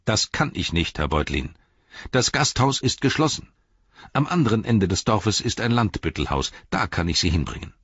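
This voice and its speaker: Man reading as a self-important hobbit police chief: raised, husky, bureaucratic. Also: lowish voice, sing-song, normal speed, fairly bright tone, no echo, highs cut off, voice pushed up, full character voice. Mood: stiff. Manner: stiff, bureaucratic, lecturing. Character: pompous officious male hobbit police captain.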